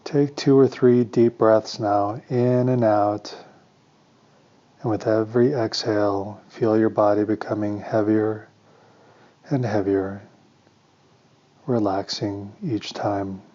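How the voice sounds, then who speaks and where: A man leading a guided meditation as a teacher, speaking calm, deliberate, soothing instructions with pauses, on a slightly muffled recording. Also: on the low side.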